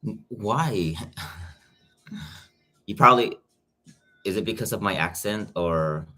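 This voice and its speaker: A man's voice: fairly low pitch, slow pace, pushed and bright, faint music behind. A young man with a Filipino accent chatting casually on a livestream, greeting viewers by name as he talks. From Philippines. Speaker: YouTube reactor.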